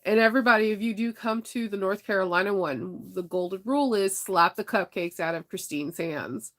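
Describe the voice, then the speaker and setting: A woman's voice: medium pitch, fairly quick, a bit bright. Chatty congested woman vlogger. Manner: chatty, laughs often, rambling asides. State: congested, stuffy nose. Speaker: vlogger talking to viewers. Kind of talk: casual chat.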